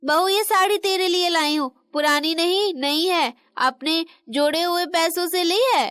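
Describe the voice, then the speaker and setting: A woman performing an elderly Indian mother-in-law for a kids' cartoon story. The voice is very high-pitched and recorded dry. She comes across as stern, motherly and given to sarcastic scolding. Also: measured pace, a bit bright, dry recording. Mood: caring, reproachful. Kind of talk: animated moral story dialogue in Hindi.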